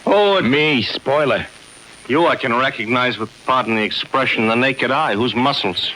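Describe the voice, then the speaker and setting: A man's voice: medium pitch, normal speed, lightly acted. An actor playing a sneering, wisecracking tough-guy club doorman, curt and mocking, in 1950s radio crime drama style. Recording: noisy old radio broadcast recording.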